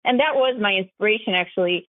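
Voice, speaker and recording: A woman's voice: mid-range voice, fast, no room sound. A woman interviewed as an author, explaining and telling personal stories conversationally. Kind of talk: conversational storytelling interview answers. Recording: thin phone-like sound.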